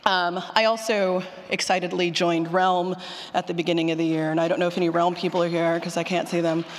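A woman's voice: fairly low pitch, little pitch movement, a little fast, a little hiss or hum. Chatty woman speaking in a reverberant hall. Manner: chatty, self-interrupting, jokey asides. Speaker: conference session speaker. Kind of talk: informal presentation to an audience. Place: large room with an audience. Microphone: handheld microphone.

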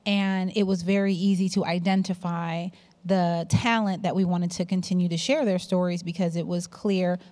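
A woman's voice: lowish voice, steady tone, moderate pace, warm tone. A woman who heads a company and hosts podcasts, speaking reflectively on a panel. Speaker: company CEO and podcast host. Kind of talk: panel discussion.

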